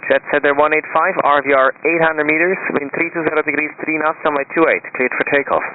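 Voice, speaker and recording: A man working as an airport tower controller, reading out clearances in clipped radio phraseology. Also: on the high side, fairly even intonation. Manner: rapid, routine clearances read off in set phrases. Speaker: tower air traffic controller. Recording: tinny radio transmission with faint hiss.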